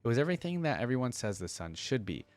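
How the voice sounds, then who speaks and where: A man's voice: medium pitch, very animated, quick pace, no echo. A man reading story lore aloud and reacting to it with informal, invested fan commentary. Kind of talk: dramatic read-aloud with casual fan commentary.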